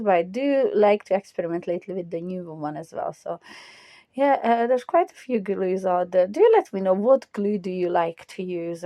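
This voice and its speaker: A woman talks through her craft project in a chatty, enthusiastic way, her mellow voice now and then strained as it recovers. She is recorded close and dry, with no room sound around her. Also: lowish voice, dry recording. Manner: chatty, enthusiastic, step-by-step explaining. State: voice recovering from loss, occasionally strained. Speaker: craft tutorial host. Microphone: close voiceover mic.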